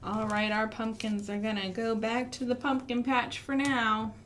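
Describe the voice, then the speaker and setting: American woman, storytime host for small children. Fairly high voice, expressive, encouraging, slight background noise. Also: normal speed. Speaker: children's librarian hosting storytime. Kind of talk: interactive children's storytime with songs and finger rhymes. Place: live online stream for a library.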